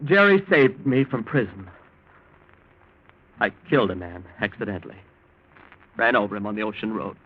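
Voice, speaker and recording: A man's voice: on the low side, expressive, moderate pace, long pauses, husky. An actor playing an anxious, weak-willed young man, faltering and defensive, in 1940s radio drama style. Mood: confessing. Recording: dull old 1940s radio recording.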